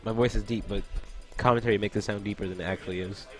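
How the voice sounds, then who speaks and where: Young guy casually calling a fighting game match, lowish voice, loud music behind. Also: fairly even intonation, fast, rough recording. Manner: casual, laughs often, answers chat between plays. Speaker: esports commentator. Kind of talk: solo game commentary, chatting with stream chat.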